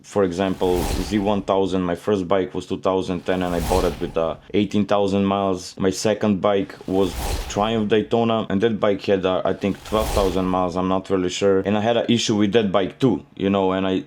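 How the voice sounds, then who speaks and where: A man's voice: low-pitched, moderate pace, hardly any pauses, slight background noise. A young man from Serbia with a Serbian accent, casually telling his motorcycle story in a rambling way with many fillers. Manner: relaxed and rambling, many "you know" fillers. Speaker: motorcycle vlogger.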